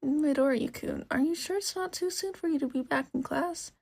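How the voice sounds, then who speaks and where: A woman voicing a caring young bear-eared girl with a gentle, friendly manner in an audio story. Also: high-pitched, moderate pace, vocal fry, pitched above the performer's own voice. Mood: worried.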